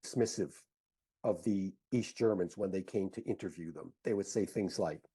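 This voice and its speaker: An older American man with a very husky, rough-edged voice and a dark, relaxed tone. He recalls events conversationally, as a professor being interviewed. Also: deep voice, a little slow.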